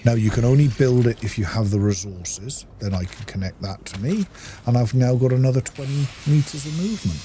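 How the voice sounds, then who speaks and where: A man's voice: medium pitch, expressive, a little fast, mellow, a little hiss or hum. A man giving a casual gameplay walkthrough, explaining things in practical asides to the viewer. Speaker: gaming video host.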